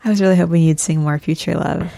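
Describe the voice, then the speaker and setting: A woman's voice: on the low side, steady tone, fast, gravelly, dark tone, dry recording, studio quality. A woman co-hosting a podcast, chatting as she walks through a show's plot step by step. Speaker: podcast co-host. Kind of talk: casual recap chat.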